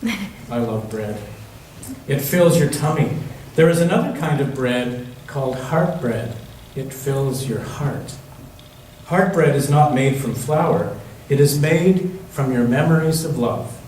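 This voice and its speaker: A man reading a picture book aloud to children in a church, pausing to put questions to them. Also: mid-range voice, expressive, a little mellow. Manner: pauses to ask the listening children questions. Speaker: church children's story reader. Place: large echoey church interior. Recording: very echoey church room, distant mic, faint noise.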